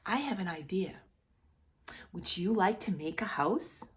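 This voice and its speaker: A woman reading a picture story aloud to young children as a storyteller, playful and expressive, speaking directly to the child with questions and exaggerated reactions. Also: on the low side, a little slow. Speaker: children's storyteller and channel host.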